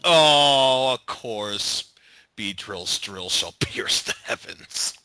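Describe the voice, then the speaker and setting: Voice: mid-range voice, animated, drawn-out words, sharp tone, clean tone, no room sound. A speaker in a casual group chat, reacting with dramatic exclamations.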